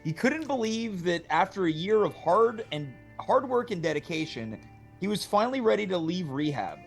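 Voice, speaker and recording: A man's voice: high voice, big pitch swings, normal speed, gravelly, soft background music. A man hosting a casual show, chatting and reading scripted text aloud. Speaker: show host.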